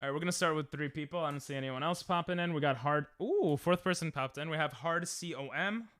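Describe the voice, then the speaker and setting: A young man with a New York accent, streaming a game and chatting casually with friends, joking and getting excitable, often trailing off mid-sentence. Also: on the high side, quick pace, smooth, no room sound, clean studio sound. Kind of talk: casual gaming stream chat.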